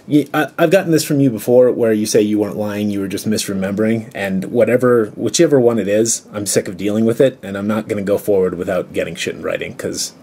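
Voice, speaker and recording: A man's voice: fairly low pitch, a little fast, warm tone, slight background noise. An aggrieved, confrontational man arguing on a recorded telephone call. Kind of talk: tense phone argument.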